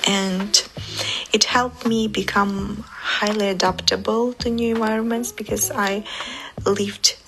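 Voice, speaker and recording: A woman. Her pace is slow and measured, and her voice has a gravelly, fry-tinged edge. Faint music plays behind her. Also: medium pitch, level tone, a bit bright, rough recording. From Ukraine. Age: 20s. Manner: careful non-native English with pauses and restarts. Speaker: interviewee. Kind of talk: interview answers.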